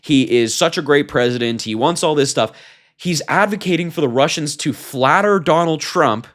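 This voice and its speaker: A man's voice: a little high, moderate pace, fairly bright tone. A man hosting a political commentary show, reading out reports and reacting with emphatic, indignant disbelief. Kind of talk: reading a transcript aloud with opinionated commentary.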